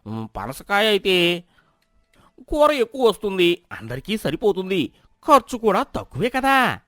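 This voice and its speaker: Greedy male skinflint guest for a storybook tale a man acting, high, swooping, demanding. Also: a little slow, no room sound, studio quality, full character voice. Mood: pleased, scheming. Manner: demanding, animated. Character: greedy, miserly male houseguest demanding food.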